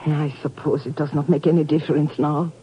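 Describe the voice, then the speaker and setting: An earnest female secret agent, played by an actress in a 1950s radio spy drama. She speaks formally and precisely, on a muffled recording with clear background noise. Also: low voice, fairly even intonation, a little fast, natural voice. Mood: anxious, grim.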